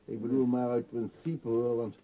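Husky, politely pushy station worker played by a man. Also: mid-range voice, flat delivery, moderate pace, natural voice. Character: insistent, tip-seeking male station worker. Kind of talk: radio play acting. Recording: muffled radio play recording.

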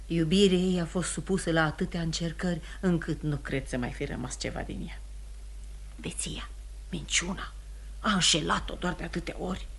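A woman's voice: fairly low pitch, steady tone, gravelly, breathy edge. An actress playing a worried, scornful older mother in a Romanian radio play, speaking in an emphatic, theatrical way with sighs. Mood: scornful, indignant. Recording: noisy vintage radio drama recording.

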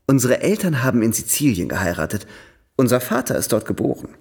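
An actor playing a charming, worldly young European gentleman in a radio drama, polite, effusive and playfully theatrical. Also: mid-range voice, big pitch swings, a little fast, creaky, husky, full character voice.

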